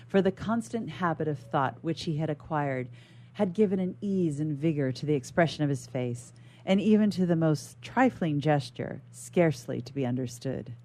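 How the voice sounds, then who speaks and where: A woman reads a classic novel aloud in a low voice with a soft, mellow tone. She sounds like a guest reader on a radio show, narrating formal literary prose.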